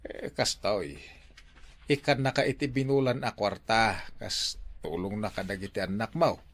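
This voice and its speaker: A man's voice: fairly low pitch, a little slow. A man playing an easygoing older father, hesitant and conversational, in an Ilocano radio drama. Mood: coaxing.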